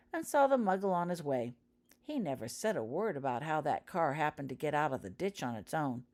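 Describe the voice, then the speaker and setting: A woman's voice: low voice, a little mellow, exaggerated voice. A woman voicing a schoolgirl witch who eagerly recounts anecdotes in a storybook reading.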